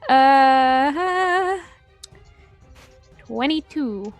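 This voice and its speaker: A woman with a fairly high voice takes part in a tabletop role-playing game. She talks casually as a player, with faint music under her voice. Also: expressive, extremely slow.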